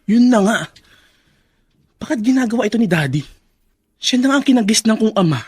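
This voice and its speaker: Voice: mid-range voice, lively intonation, fairly quick, breathy edge. A young adult performer playing an anguished, resentful son in a Filipino radio drama, with emphatic, emotional delivery. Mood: bitter. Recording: music under the voice.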